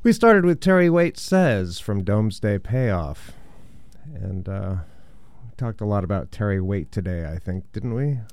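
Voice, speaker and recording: A man hosting a radio show and chatting casually between records, his voice deep and congested from a cold, rambling a little with fillers. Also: lively intonation, a little slow, a little mellow, no room sound. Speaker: radio DJ host.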